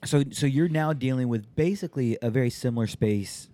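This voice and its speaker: Dark-voiced blunt male host, dry sound. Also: medium pitch, brisk, dry recording. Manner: blunt and crude, joking with profanity between plain questions. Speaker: interview host. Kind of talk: casual interview chat.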